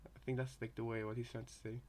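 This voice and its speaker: Male co-commentator, medium register, little pitch movement, hesitant and repetitive, thinking aloud in casual unscripted style. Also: level tone, a little hiss or hum. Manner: halting, repetitive, thinking out loud. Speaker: co-commentator in a reaction video.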